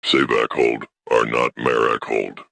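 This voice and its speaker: Gravelly, extremely deep alien clan leader, a man acting. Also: level tone, unhurried, a bit bright, dry recording, full character voice. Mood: stern, dismissive. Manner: terse, formal, declarative. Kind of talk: audio sci-fi drama.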